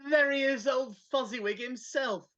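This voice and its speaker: A woman's voice: sits high, normal speed, a bit bright. A woman reading the part of an old miser looking back on his youth, in a live script reading. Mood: nostalgic, excited. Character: Scrooge, old miser reliving youthful memories. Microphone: video call. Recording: dull lo-fi video call.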